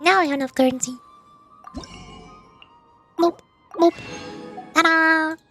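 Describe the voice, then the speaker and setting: A woman game streamer with a very high voice and a playful, cutesy manner. She chats at an unhurried, slow pace over game music, as on a livestream. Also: fairly even intonation, fairly bright tone. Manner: playful, cutesy little sound words. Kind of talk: livestream gameplay chat with voiced-aloud dialogue.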